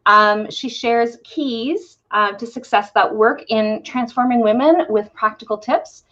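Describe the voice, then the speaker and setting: A woman hosting a live-streamed interview, bubbly and excited, with many ums and uhs. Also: a little high, a little slow, muffled recording. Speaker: host.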